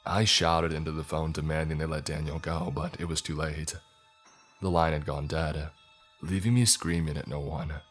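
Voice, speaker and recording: A man narrates a scripted horror story in the first person. His voice is exceptionally low, and his delivery is dramatic and suspenseful. Faint music plays behind him.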